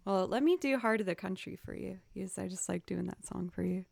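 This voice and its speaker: A woman hosting a live music stream, chatting casually with viewers in a soft, raspy voice. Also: medium pitch, brisk, no echo. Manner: quiet, gentle, laid-back talk. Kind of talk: casual livestream chat with viewers.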